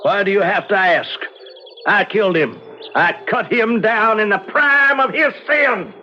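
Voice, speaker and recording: An actor playing a zealous, vengeful frontier preacher, solemn and declamatory with righteous fire, in old-time radio drama style. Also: male voice, high-pitched, measured pace, sharp tone, a little hiss or hum, exaggerated voice. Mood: defiant, zealous. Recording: dull old radio recording.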